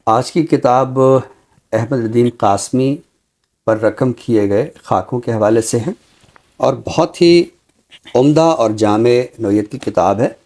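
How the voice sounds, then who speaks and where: A man with a Pakistani accent presenting a literary book review in a measured, scholarly, deliberate way. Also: mid-range voice, darkish tone. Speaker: literary guest presenter introducing books.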